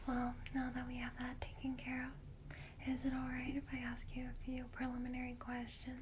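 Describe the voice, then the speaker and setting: A woman whispering softly and soothingly in an ASMR roleplay as a caring, old-fashioned vampire guide, in a breathy voice. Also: high-pitched, monotone, background noise. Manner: gentle, soothing, slow reassurance.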